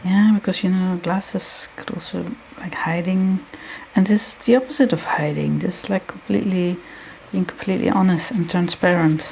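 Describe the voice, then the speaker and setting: A woman with a Dutch accent, a non-native English speaker, talking to herself as she draws on a live stream, halting and hunting for words. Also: lowish voice, vocal fry, mellow, slight background noise, low-quality recording. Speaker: artist live-streaming while drawing. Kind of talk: thinking aloud while drawing.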